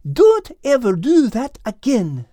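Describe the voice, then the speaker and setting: Small scaredy male cat: high, excitable, animated voice for an animal audiobook. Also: slow pace, no room sound, full character voice. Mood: indignant, shaken.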